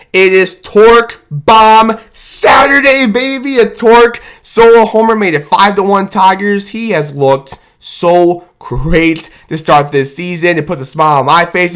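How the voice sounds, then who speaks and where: A man with a hoarse, rough voice, hyping up his team's win in a fan reaction vlog, shouting and drawling his cheers. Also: high-pitched. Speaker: sports fan video host.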